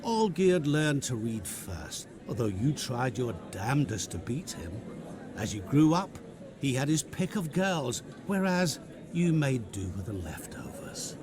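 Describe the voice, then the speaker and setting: An actor playing a mysterious, smooth-talking male stranger who is courteous, theatrical and taunting, in a video game cutscene. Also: mid-range voice, sing-song, measured pace, noisy background. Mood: mocking. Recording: game cutscene with music score.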